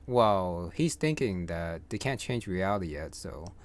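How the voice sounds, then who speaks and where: A man's voice: sits low, lively intonation, a little slow. A man giving casual gameplay commentary as a streamer, rambling and thinking aloud as he plays. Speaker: gaming streamer. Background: game music.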